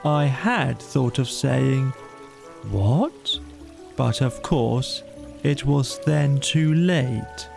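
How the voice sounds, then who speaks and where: A man's voice: mid-range voice, animated, very slow, creaky, over music, heavily acted. A man voicing a gloomy, aggrieved donkey with a ponderous, mournful, sarcastic grumble in a storybook audio play. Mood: gloomy sarcasm.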